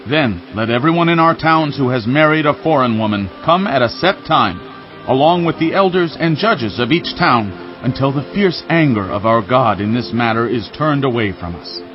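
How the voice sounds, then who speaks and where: A man's voice: mid-range voice, animated, normal speed, muffled recording, soft background music, lightly acted. An actor playing an earnest spokesman for a penitent crowd, pleading emphatically in a dramatized scripture recording. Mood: earnest, contrite. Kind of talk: dramatized scripture audio acting.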